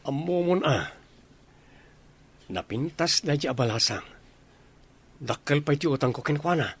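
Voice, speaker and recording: A man's voice: a little high, animated, unhurried, fairly bright tone, breathy edge, exaggerated voice. A man playing a lovesick young soldier, earnest and bantering with his buddy, with an Ilocano accent, in a comedy radio drama. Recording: noisy ambience behind the voice.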